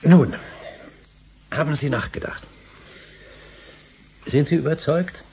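A man's voice: fairly high pitch, slow, a little mellow, slight background noise. An actor playing an obsessive middle-aged male scholar in a German radio drama, speaking emphatically and rhetorically. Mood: brisk. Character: obsessive older male scholar, insistent and indignant.

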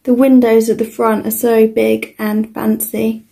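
Slow, even-voiced young British woman. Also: a little high, creaky. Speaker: toy reviewer. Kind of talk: casual product walkthrough.

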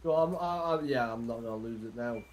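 A man's voice: medium pitch, brisk, a little mellow, slight background noise. Teasing man with a Northwest English accent. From Northwest England. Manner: teasing, swearing, bewildered. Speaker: teammate. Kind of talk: casual gaming chat.

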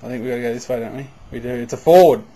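A man giving casual running commentary as he opens packs of trading cards, breaking into loud bursts of excitement. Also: medium pitch, rapid-fire, poor audio. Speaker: card box breaker. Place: indoors at a table.

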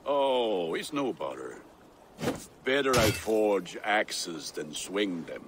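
An actor playing a jovial, burly middle-aged Viking blacksmith in a video game, hearty and wistful in delivery. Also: male voice, lowish voice, very animated, slow, background noise. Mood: gruff good humor. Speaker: blacksmith character. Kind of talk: video game cutscene acting.

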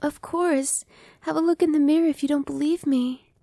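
A woman's voice: high-pitched, steady tone, normal speed, gravelly, no echo. A woman playing a sweet newborn vampire girl. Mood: playful. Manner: soft, reassuring, playful, drops to whispers. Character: cheerful young female vampire, newly turned, friendly. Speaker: voice actress. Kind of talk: ASMR roleplay.

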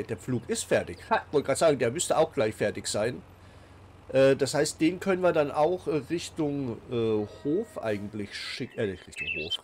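A man gives a relaxed let's-play commentary at a middling pitch, a touch congested from a cold. He keeps going with frequent fillers and self-corrections. Faint game noise sits low behind him. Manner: chatty, many "äh" fillers and restarts. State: has a cold.

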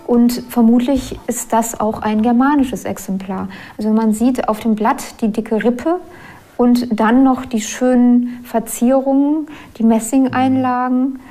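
A woman's voice: a little high, warm tone. A woman explaining finds as an archaeologist in a documentary interview.

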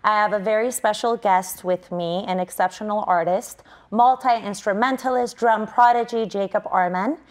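A woman's voice: mid-range voice, fairly even intonation, normal speed. Upbeat young woman TV host. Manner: upbeat and polished, with some "um" fillers. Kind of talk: TV interview hosting. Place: indoor TV studio set.

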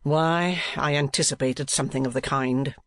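A man's voice: medium pitch, steady tone, moderate pace, fairly bright tone, no room sound, lightly acted. A man voicing a stern, impatient uncle in a storybook reading, curt and sarcastic in manner. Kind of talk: audiobook character voice.

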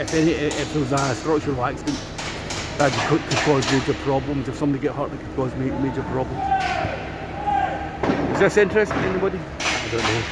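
Loud site noise surrounds a man talking into a handheld camera outdoors in a big open space. His voice sits at a middle pitch. He commentates casually, musing and explaining as he goes. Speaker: vlogger. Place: outdoors in stands of large open stadium. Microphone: handheld phone or camera.

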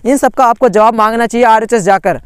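A man's voice: sits high, fast, clean tone, no echo. A man, urgently walking viewers through admission lists over a screen recording, indignant and full of restarts. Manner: urgent, indignant, many restarts and "theek hai" fillers. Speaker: education channel host.

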